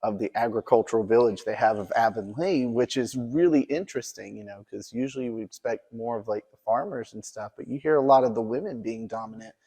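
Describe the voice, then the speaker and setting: A man's voice: mid-range voice, brisk, few pauses, warm tone. This is a man with a mid-range voice hosting an informal interview. He talks in an enthusiastic, effusive way, as someone promoting a community event would. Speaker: interviewer, museum event host.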